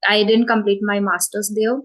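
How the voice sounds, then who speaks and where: A young Indian woman talks through her story in a conversational interview. Her English carries an Indian accent, and she pauses often on fillers and corrects herself mid-sentence. Her pitch and pace sit in the middle range. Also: flat delivery. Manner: thoughtful, many fillers and self-corrections. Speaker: spoken English channel host.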